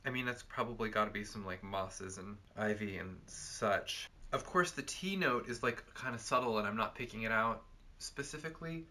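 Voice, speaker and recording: A man's voice: fairly low pitch, normal speed, bright, forceful tone. A young man co-hosting a perfume review, chatting casually with lots of fillers in an indoor room. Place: cluttered indoor room with bookshelves.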